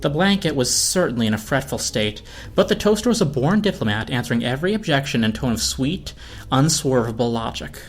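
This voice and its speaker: A man's voice: medium pitch, lively intonation, a little fast. A man reading a scripted story aloud as narrator. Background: orchestral film score.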